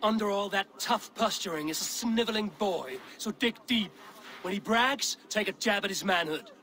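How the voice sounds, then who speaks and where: An actor playing a bold, blunt Viking warrior who goads and mentors with wry command in a video game cutscene. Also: male voice, sits high, normal speed, bright, forceful tone. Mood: goading, coaching.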